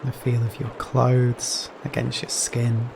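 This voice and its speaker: Slow-paced man guiding meditation over rain. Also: mid-range voice, gravelly. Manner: gentle, soothing, with long pauses between phrases. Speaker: meditation guide. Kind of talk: guided Yoga Nidra meditation. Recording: steady rain noise behind voice. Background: rain sounds.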